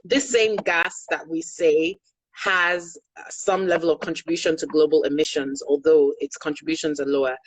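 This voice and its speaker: A woman's voice: sits low, steady tone, normal speed, dry recording, low-quality recording. A middle-aged Nigerian woman, a Yoruba speaker, explaining energy business in an online interview in an articulate, pragmatic, emphatic way. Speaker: guest, energy consultant.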